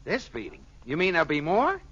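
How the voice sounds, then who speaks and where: Wildly animated, grumbling radio sitcom husband. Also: male voice, on the high side, fairly quick, breathy edge, heavily acted. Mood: clueless. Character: grumbling, wisecracking, clueless husband in domestic comedy. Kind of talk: 1940s-50s radio sitcom acting. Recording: very noisy, muffled old radio recording.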